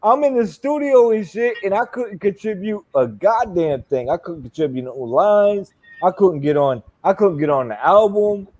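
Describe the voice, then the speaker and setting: Obsada: mężczyzna po czterdziestce, swobodny gawędziarz, dość wysoki głos, dużo śmiechu i przekleństw. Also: male voice, high-pitched, animated, moderate pace, hardly any pauses, darkish tone. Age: 40s. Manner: loose, laughing storyteller, swears freely. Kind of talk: casual chat.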